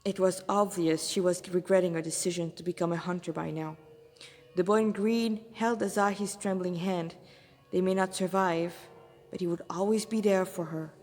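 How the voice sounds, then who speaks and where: A woman's voice: fairly low pitch, fairly even intonation, moderate pace, darkish tone, soft background music. A woman reads a story aloud as its narrator. Her phrasing is dramatic, with occasional stumbles over words. The recording carries long, echoey reverb. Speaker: fan fiction author and reader.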